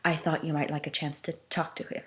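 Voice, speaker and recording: A woman acts out a tough male robot henchman in a fan fiction reading. He speaks calmly and shrewdly in a low female register, unfazed by threats. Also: fast, close to an everyday voice. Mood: calm, calculating. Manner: calm, unfazed.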